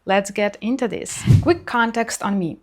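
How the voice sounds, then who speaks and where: A woman's voice: mid-range voice, unhurried, a little mellow. Young woman. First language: Ukrainian and Russian. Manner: clear, enthusiastic, listing examples. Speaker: language educator, channel host. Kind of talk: scripted educational explainer.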